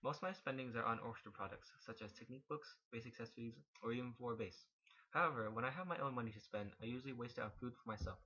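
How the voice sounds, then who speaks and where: A man's voice: mid-range voice, fast, sharp tone, slightly rough, dull audio. A teenage male student reading scripted narration for a home-made personal video. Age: teens. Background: background music.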